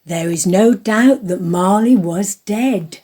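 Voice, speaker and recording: A woman narrates a storybook drama. Her voice is husky and mellow, and she tells it with deliberate emphasis. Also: mid-range voice.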